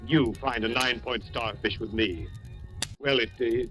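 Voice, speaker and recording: An actor playing a folksy, forward suitor with a breezy, coaxing manner in a 1940s radio suspense drama. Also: male voice, mid-range voice, fairly even intonation, a little slow, close to an everyday voice. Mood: intimate, musing. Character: folksy, forward suitor, a hotel man from Maine. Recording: hissy old radio recording with music.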